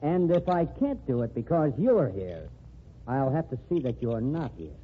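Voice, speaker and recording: An actor playing a bitter, threatening fired reporter in an old radio drama. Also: male voice, medium pitch, very animated, normal speed, close to an everyday voice. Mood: menacing. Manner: sneering, threatening. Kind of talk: 1940s radio acting. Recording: tinny lo-fi old radio transcription with faint hiss.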